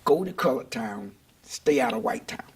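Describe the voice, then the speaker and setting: An older man answering interview questions in a reflective, measured and deliberate way. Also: medium pitch, expressive, husky.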